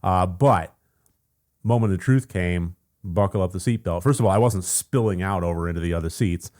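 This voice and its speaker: An American man with a gravelly, just-woken-up voice, chatting casually in a vlog update, rambling with fillers and soft laughs. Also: low voice, moderate pace. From United States. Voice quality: gravelly morning voice. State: just woken up, voice deeper than usual. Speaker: channel host.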